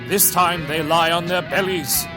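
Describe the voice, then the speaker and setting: A man narrating a scripted radio drama in a deliberate, theatrical way. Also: a little high, steady tone. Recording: loud guitar music behind.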